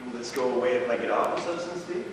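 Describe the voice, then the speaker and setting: A man on stage playing a confused, weary, drug-addicted undercover officer who speaks haltingly. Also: mid-range voice, lightly acted. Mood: worried. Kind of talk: live amateur stage play acting. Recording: echoey hall from far off, noisy.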